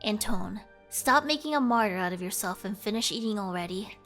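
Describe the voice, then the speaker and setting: A woman's voice: medium pitch, animated, brisk, fairly bright tone, faint music behind. A woman voicing an exasperated, sarcastic mother of two who scolds curtly. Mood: sarcastic, scolding. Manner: scolding, curt.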